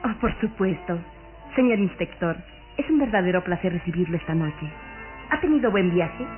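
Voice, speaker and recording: An actress playing an elegant, spellbound young bride-to-be in a radio drama, effusively sweet and overly polite. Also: female voice, lowish voice, animated, moderate pace, close to an everyday voice. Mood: gracious, delighted. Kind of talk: old Latin American radio drama acting. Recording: muffled old radio recording with music.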